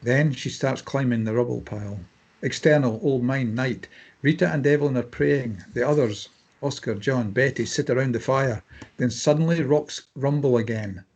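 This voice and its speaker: A man's voice: medium pitch, normal speed, darkish tone. A man reading stage directions as scripted narration for a drama table read.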